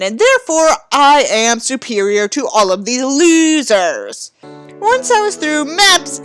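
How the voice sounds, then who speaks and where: A woman's voice: high-pitched, a bit bright. A woman giving a dramatic reading with theatrical, mocking delivery and sardonic asides. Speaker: host reading a book aloud with mocking commentary.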